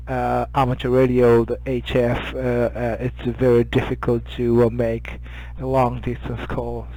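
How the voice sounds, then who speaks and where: A middle-aged man with a Japanese accent chatting as an amateur radio operator, halting and often searching for words. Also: mid-range voice, warm tone, low-quality recording. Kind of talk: casual radio contact chat.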